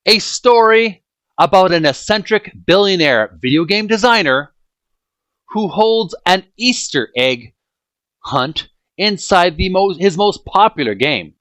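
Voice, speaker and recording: A man reading a book aloud as a host, with deliberate phrasing and emphatic delivery. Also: on the high side, a bit bright. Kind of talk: read-aloud book narration. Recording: echoey room. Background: faint music.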